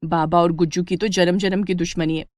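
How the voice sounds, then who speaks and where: A woman voicing a lively, food-loving young village woman, chatty and eager, in a storybook tale. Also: fairly low pitch, a little fast, no echo, studio quality, natural voice. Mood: playful, excited.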